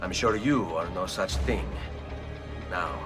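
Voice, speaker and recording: An actor playing a bold young Italian assassin, speaking with an Italian accent in a steady, determined way. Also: male voice, low-pitched, slow pace, recorded from a distance, music in the background. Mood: resolute. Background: orchestral score.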